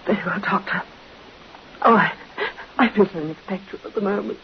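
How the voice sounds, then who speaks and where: A woman's voice: mid-range voice, brisk, close to an everyday voice. An actress plays a proper, anxious nurse, a woman with a mid-range voice. She speaks formally and earnestly, with some hesitance, in radio drama style. The old recording is muffled, with light hiss. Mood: grieving, shaken. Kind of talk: 1950s radio drama acting.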